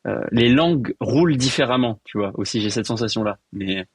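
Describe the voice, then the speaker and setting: A man's voice: medium pitch, expressive, slightly rough, no echo. A man hosting a podcast, asking rambling questions broken up by constant fillers. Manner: halting questions full of "euh". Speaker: podcast host. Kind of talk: casual conversation.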